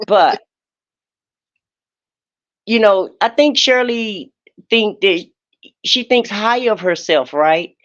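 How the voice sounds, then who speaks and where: A woman's voice: mid-range voice, lively intonation, slow, pauses often. An American woman chatting casually and giving opinions in colloquial, emphatic speech, often repeating her phrases. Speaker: panelist on a live stream. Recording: dull lo-fi stream audio.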